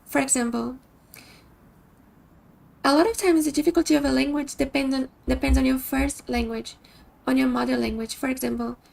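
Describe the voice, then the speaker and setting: Brief: female streamer, Brazilian Portuguese accent, pitched high, heavy vocal fry, casual and searching. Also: fairly even intonation, normal speed, long pauses, darkish tone. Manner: thoughtful, restarts sentences while searching for words. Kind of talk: casual chat with stream viewers.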